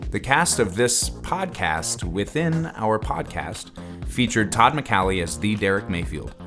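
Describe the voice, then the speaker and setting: Low-voiced male podcast host over guitar. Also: lively intonation, measured pace. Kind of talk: podcast outro with credits and thanks. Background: guitar music.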